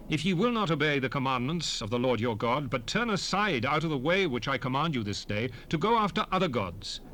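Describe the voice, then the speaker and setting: An actor playing a solemn, commanding prophet addressing his people in a dramatized scripture reading, with measured, declamatory phrasing. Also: medium pitch, a bit bright, natural voice. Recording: noisy 1970s cassette rip, ambient background.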